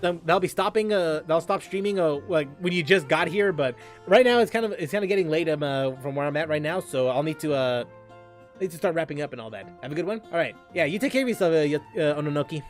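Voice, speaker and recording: A man hosting a casual gaming livestream, chatting in a halting way and often repeating and restarting his phrases. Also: on the high side, animated, soft background music. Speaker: livestream host, gamer.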